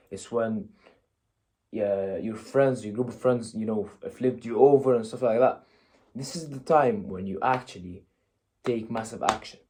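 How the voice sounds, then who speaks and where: Blunt Egyptian-raised man, mellow low voice. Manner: blunt, conversational, with frequent "you know" and "yeah?". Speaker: motivational vlogger. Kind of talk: casual motivational talk to camera.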